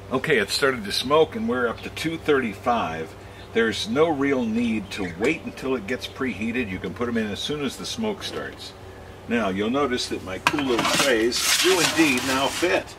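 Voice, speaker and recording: A man's voice: medium pitch, background noise. A man in his sixties hosting a casual home cooking video in a folksy manner, with jokey asides. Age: 60s. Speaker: host of a home cooking channel. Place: home kitchen and backyard patio.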